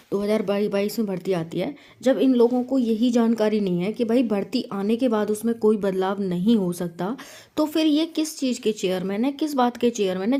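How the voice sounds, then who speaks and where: A woman's voice: fairly high pitch, reverberant. A woman hosting an informal explainer for viewers, conversational and often checking in with rhetorical questions. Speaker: host of a government exam news channel.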